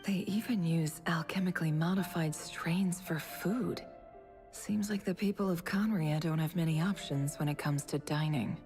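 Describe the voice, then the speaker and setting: An actress voicing a calm, thoughtful investigator who muses to herself in a measured way in a video game. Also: female voice, fairly low pitch, lightly acted. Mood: analytical. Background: game music.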